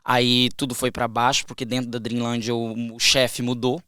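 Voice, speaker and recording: A young Brazilian man, a podcast guest, telling a story in a casual, animated way, with frequent restarts. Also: medium pitch, normal speed, clean tone, no echo, clean studio sound. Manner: animated, acts out quoted voices, trails off and restarts.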